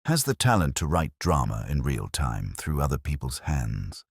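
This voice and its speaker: A shrewd, soft-spoken detective inspector from a mystery audiobook, played by a male narrator. The voice is very deep and husky, murmuring each knowing line at a measured, probing pace. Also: big pitch swings, dry recording, studio quality, heavily acted. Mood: quietly suspicious.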